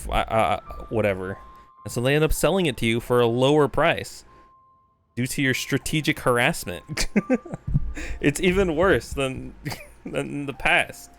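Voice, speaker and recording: A man's voice: mid-range voice, a bit bright. Slangy man streaming a game. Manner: slangy, sweary, rambling. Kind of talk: casual live gameplay commentary. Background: game music.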